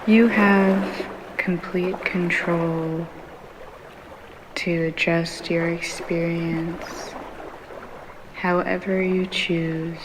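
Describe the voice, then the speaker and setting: Very slow, soothing woman guiding meditation. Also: fairly low pitch, level tone, background noise. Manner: soothing, unhurried with long pauses between short phrases. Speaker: guided meditation instructor. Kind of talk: guided yoga nidra meditation, ASMR. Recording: waves and rain sound clearly behind. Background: ambient ocean waves and rain.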